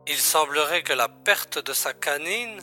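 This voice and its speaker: Guy playing a calm secret agent buddy, really sharp-edged voice, explains stuff, faint music behind. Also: a little high, measured pace, heavily acted. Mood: briefing. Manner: explanatory, familiar, composed. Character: calm, matter-of-fact male agent colleague, gently teasing. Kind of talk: audio drama acting.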